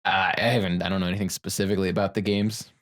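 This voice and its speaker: A man co-hosting a podcast in casual film-discussion chat, animated and rambling, peppering his talk with "like". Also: low-pitched, racing. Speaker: podcast co-host.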